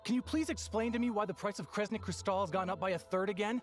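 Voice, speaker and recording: A woman's voice: mid-range voice, fast talker, faint music behind, close to an everyday voice. An actress playing an aggrieved, desperate townswoman in a video game, indignant and pleading. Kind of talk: video game character dialogue.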